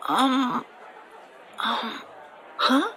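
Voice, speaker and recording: An actor voicing a shy young boy at a chess game, hesitant and halting. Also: very high-pitched, animated, pushed and bright, some chatter behind, a little hiss or hum, exaggerated voice.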